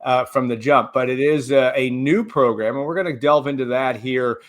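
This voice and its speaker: A middle-aged man speaks as the host of a sports talk show, putting questions to guests. His pitch is average for a man. He talks conversationally, circling around each point with roundabout phrasing. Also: normal speed.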